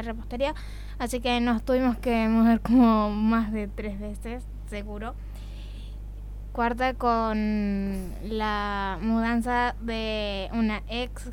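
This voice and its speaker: An Argentine woman from Córdoba chatting casually in a radio interview. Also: a little high. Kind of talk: casual chat. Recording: faint background ambient noise.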